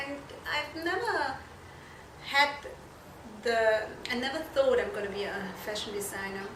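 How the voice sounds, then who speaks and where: High-voiced woman, echoey distant recording. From Germany. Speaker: fashion and shoe designer being interviewed. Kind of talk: informal interview answers. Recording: echoey room, distant mic, noisy background.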